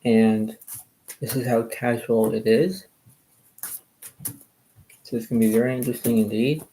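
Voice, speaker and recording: Soft-spoken hoarse man rambling. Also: mid-range voice, steady tone, unhurried, a little hiss or hum, low-quality recording. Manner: meandering, repeats filler phrases. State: a little hoarse. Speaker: channel host playing cards. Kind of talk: casual rambling talk to camera.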